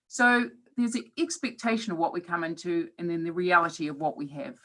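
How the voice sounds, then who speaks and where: A woman's voice: lowish voice, animated, brisk, sharp tone. A woman in her forties with a New Zealand accent, giving a reflective webinar talk as a professor, with occasional hesitant fillers. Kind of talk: presentation talk.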